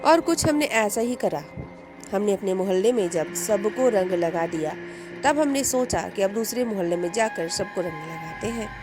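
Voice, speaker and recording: A woman telling a first-person horror story, dramatic, rising with suspense and dropping to a hush in tense moments. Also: medium pitch. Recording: music bed under the voice.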